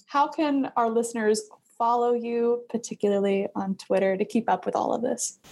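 A woman's voice: a little high, fairly even intonation, normal speed, darkish tone. A woman hosting a business podcast, bubbly and laughing often between questions. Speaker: podcast host. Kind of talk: podcast interview.

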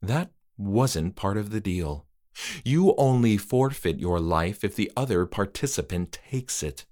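Guy voicing a calm polite spooky trick-or-treater, low and unhurried. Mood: coldly polite. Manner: calm, soft, measured and formal. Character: eerily polite male trick-or-treater spirit.

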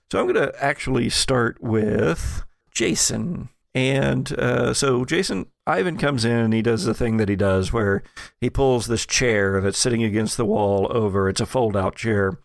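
Unhurried, creaky-voiced man running a game. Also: medium pitch, no echo, studio quality. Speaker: game master of a podcast. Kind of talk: casual improvised tabletop game narration.

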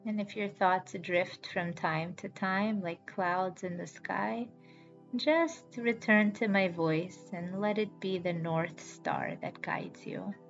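A woman leads a guided relaxation in a soothing, unhurried voice at a middling pitch. She gives each instruction slowly and leaves deliberate pauses. The sound is a little dull, with music playing behind her. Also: expressive, gravelly. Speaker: yoga nidra guide and podcast host. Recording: slightly dull audio with background music.

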